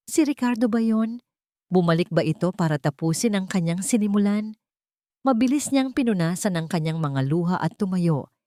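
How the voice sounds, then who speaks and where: A woman's voice: mid-range voice, darkish tone, dry recording, clean studio sound. A woman reading a scripted story as a dramatic narrator.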